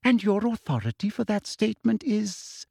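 A man voicing a prim, falsely sweet female school inspector who turns shrill and menacing. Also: big pitch swings, normal speed, gravelly, warm tone, slightly rough, dry recording, studio quality, exaggerated voice.

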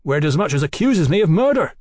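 A man voicing an earnest, alarmist Victorian gentleman in an audiobook, speaking formally and emphatically. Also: medium pitch, fast talker, slightly rough, no room sound, studio quality, natural voice. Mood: indignant.

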